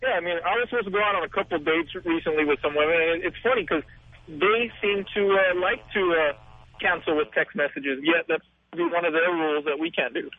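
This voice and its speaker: A male caller on a talk radio show, wry and halting, with many fillers. His voice sits high. He comes through a dry, tinny phone line. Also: dry recording.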